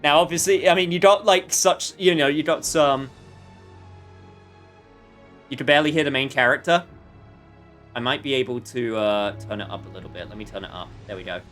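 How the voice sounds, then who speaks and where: A man's voice: mid-range voice, moderate pace, long pauses, fairly bright tone, soft background music. A man livestreaming a video game with casual commentary, chatting to viewers in a rambling way with jokey asides.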